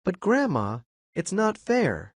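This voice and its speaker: A man's voice: sits high, wildly swooping pitch, slow pace, breathy edge, no room sound, pitched above the performer's own voice, heavily acted. A man voicing an earnest seven-year-old boy in a storybook reading. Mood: pleading.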